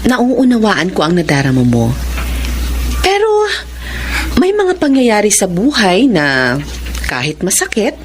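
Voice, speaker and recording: A gentle woman doctor in a Filipino radio drama, with a lively, wide-moving pitch. She soothes and reassures, slipping between Tagalog and English, over faint background noise. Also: unhurried, long pauses. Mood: gentle, consoling. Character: gentle, reassuring woman doctor, devoted wife, daughter and mother.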